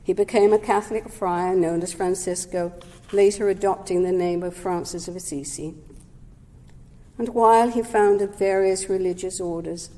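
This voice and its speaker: A woman giving a prepared talk at a Sunday service, measured and gentle, sometimes correcting herself mid-word. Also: lowish voice, fairly even intonation. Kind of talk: prepared talk read aloud. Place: service hall. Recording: long hall reverb.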